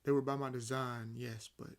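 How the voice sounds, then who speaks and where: A man voicing an ancient vampire in an audio roleplay, nonchalant and amused, speaking with wry condescension and theatrical pauses. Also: medium pitch, flat delivery, lightly acted. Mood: explaining calmly. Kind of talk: roleplay audio acting, addressing the listener.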